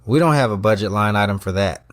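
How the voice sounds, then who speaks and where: A man playing a guarded, hardworking chef and restaurant owner in an audio drama, brisk and teasing. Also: fairly low pitch, fairly bright tone, no echo, clean studio sound, natural voice.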